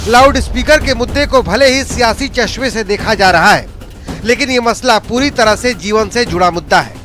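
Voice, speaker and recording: A man's voice: very high voice, fairly bright tone. A man narrating a scripted news report emphatically, as a TV reporter.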